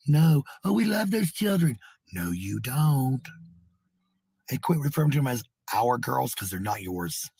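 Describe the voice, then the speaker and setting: This is a man with a markedly raspy voice that breaks into gravelly fry. He delivers an informal, combative rant full of swearing and repeats himself to drive points home. The sound is dry. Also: mid-range voice, very animated, a little slow, darkish tone, no room sound. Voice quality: husky, raspy. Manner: combative, profane, repetitive. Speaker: parent speaking in a clip.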